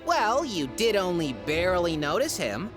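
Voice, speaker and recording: An actress playing a playful, teasing game master narrating a tabletop game in a comedy audio drama. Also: female voice, on the low side, sing-song, moderate pace, music in the background. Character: gleeful young woman game master, mischievous.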